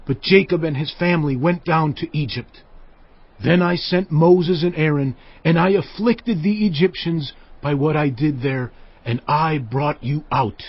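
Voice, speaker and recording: An actor playing a stern, commanding Israelite leader, declaiming solemnly and emphatically in a dramatized audio Bible. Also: male voice, fairly high pitch, slightly rough, no echo, muffled recording. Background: music.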